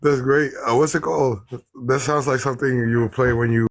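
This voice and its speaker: A man's voice: medium pitch, fairly even intonation, fairly quick, creaky, dry recording. A man in his twenties calling in to a debate stream, polite and easygoing. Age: 20s. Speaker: caller. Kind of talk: casual call-in chat.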